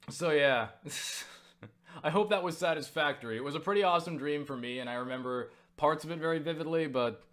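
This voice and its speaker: A young man casually recounting a story for viewers, excitable and full of swearing and filler words. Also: mid-range voice. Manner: excitable, swears freely, lots of fillers and laughs. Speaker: YouTuber answering viewer questions.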